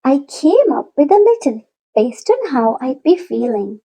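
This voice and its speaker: A woman reading a children's picture book aloud in an expressive storytelling manner, with deliberate, drawn-out emphasis. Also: high-pitched. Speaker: storybook read-aloud narrator.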